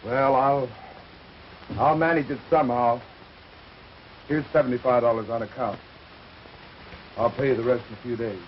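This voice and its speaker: Man acting discouraged young patient, mid-pitched, hesitant, hissy 1930s soundtrack. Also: steady tone, normal speed, pauses often, dull audio, lightly acted. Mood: resigned. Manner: dejected, hesitant. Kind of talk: 1930s film melodrama acting.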